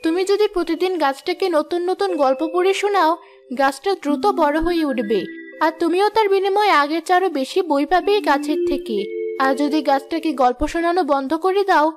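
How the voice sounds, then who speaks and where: A woman voicing a kindly, solemn fairy of knowledge in a cartoon, gently explaining. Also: very high-pitched, a little fast, music in the background. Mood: solemn, instructive. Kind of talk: cartoon voice acting.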